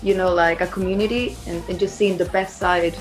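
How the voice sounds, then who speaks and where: A woman's voice: on the low side, fairly even intonation, brisk, faint music behind, poor audio. A woman chatting informally as an interviewed band member, in a loose, conversational way. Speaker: band singer and songwriter being interviewed. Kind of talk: casual chat.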